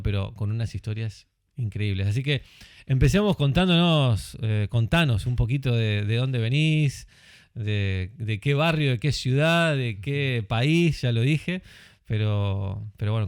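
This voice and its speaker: A man's voice: medium pitch, moderate pace, clean tone, no echo. A man with a Uruguayan Spanish accent hosting a podcast in a studio, talking in a chatty, friendly way with many asides. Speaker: podcast host. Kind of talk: casual chat.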